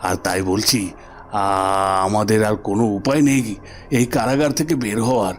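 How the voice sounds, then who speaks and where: A man's voice: lowish voice, moderate pace, a little hiss or hum, lightly acted. A man voicing a defeated, scheming army commander and imprisoned father in a cartoon, grave and regretful. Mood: resigned. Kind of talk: melodramatic cartoon voice acting. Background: music.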